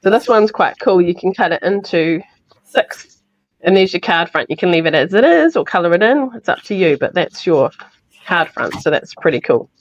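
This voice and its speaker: A woman with a New Zealand accent, sounding croaky from illness, chatting through a live crafting tutorial step by step. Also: on the low side, animated, normal speed, no room sound, dull audio. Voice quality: slightly hoarse. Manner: chatty, explains step by step with fillers. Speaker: craft demonstrator.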